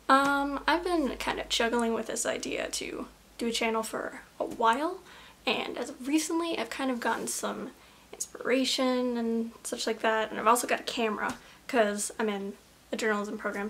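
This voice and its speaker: A young woman talks straight to her camera in a small room, rambling and laughing at herself in a fairly high voice. Allergies leave a slight rasp in her throat. The camera's built-in mic picks up a faint hiss behind her. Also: a bit bright. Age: young adult. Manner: rambling, giggly, self-conscious. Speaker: vlogger. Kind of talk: casual chat to camera.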